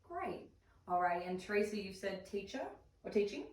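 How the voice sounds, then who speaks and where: A woman's voice: mid-range voice, sing-song, normal speed. A woman leading a small first aid class as trainer, speaking in an affirming, encouraging way. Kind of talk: small group class instruction. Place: indoor room, small group class. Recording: echoey room, distant mic, faint noise.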